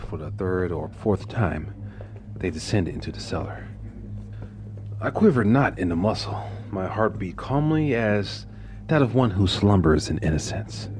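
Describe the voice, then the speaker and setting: An actor playing a deranged, smug murderer who confesses his crime in formal, theatrical horror narration. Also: deep voice. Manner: theatrical, formal, brooding, drops into hushed whispers. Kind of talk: dramatic horror narration of a classic gothic tale.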